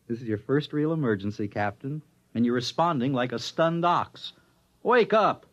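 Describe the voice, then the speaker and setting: A man's voice: medium pitch, animated, measured pace, fairly bright tone. Cynical, swooping-voiced spaceship crewman. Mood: morbid goading. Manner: goading, dramatic, swinging from languid to agitated. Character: morbid, needling, cynical spaceship crewman, man. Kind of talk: mid-century radio drama acting. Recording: dull lo-fi old radio recording.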